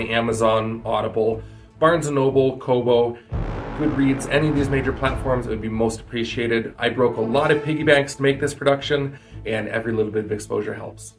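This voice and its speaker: A man's voice: mid-range voice, fairly even intonation, normal speed. Casual man introducing his audiobook over music. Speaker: audiobook creator and host. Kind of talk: casual spoken introduction to listeners.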